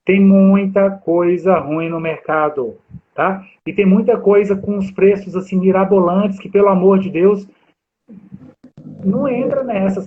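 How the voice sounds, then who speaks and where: A man in his forties from Brazil, a guest instructor talking animatedly and straight to viewers on a video call. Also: high-pitched. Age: 40s. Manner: animated, addresses viewers directly. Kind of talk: live chat with audience. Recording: tinny phone-like line.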